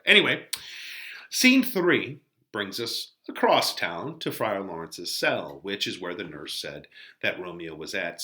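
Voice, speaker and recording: A man explains a text as a teacher would, in casual commentary. His voice is bright and crisp-edged, with lively movement in pitch. He keeps it conversational and drops in playful asides.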